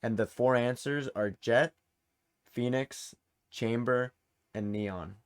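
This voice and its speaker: A man's voice: medium pitch, slow pace, smooth. A man hosting a quiz in a casual, informal and encouraging way. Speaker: quiz host. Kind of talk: casual game-show hosting.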